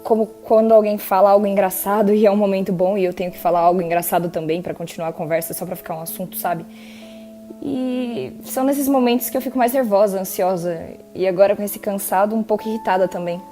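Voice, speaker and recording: A woman's voice: mid-range voice, steady tone, quick pace, warm tone, soft background music, natural voice. A woman acting a tired, anxious young patient in an audio drama, answering in hesitant, unsure replies. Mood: weary. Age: teens.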